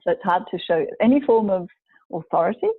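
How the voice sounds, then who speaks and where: A woman in her forties from New Zealand, an executive coach telling her story as a guest, measured and pausing often to find her words. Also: lowish voice, expressive, slightly rough, dry recording. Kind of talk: personal storytelling in a live video chat. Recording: tinny, phone-like dry sound.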